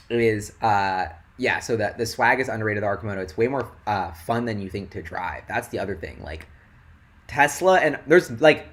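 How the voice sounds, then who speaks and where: A young man hosting a casual investing livestream, rambling and excitable with lots of "like", his voice going hoarse. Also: on the low side. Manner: rambling and excitable, heavy "like" filler.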